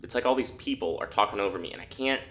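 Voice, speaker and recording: A man plays an uneasy male test subject in an audio drama. His fairly low voice comes through narrow and phone-like, casual in wording but halting and repetitive. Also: lightly acted. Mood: uneasy, overwhelmed. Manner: halting, casual, repeating words. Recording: thin, phone-like intercom sound.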